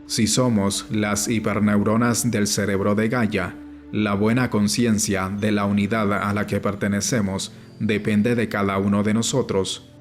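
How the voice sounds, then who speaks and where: A man narrating a nonfiction audiobook in a measured, formal reading style. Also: on the low side, flat delivery. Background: music.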